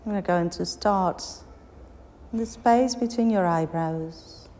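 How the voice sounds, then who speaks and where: Slow-paced woman guiding meditation, long reverb. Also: on the low side, warm tone. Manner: soothing, unhurried instructions with long pauses. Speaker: yoga nidra meditation guide. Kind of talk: guided meditation. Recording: long reverberant hall, background noise.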